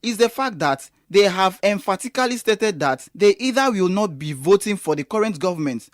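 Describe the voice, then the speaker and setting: A woman's voice: mid-range voice, animated, moderate pace, no echo, lightly acted. Scornful Nigerian activist woman, dry sound acted. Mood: indignant. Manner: emphatic, sardonic. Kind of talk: conversational radio drama acting.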